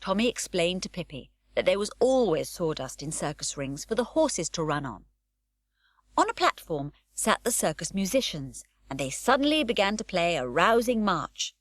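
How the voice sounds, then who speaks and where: A woman narrating an unabridged children's audiobook, with expressive, varied storytelling. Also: lowish voice, normal speed, no room sound.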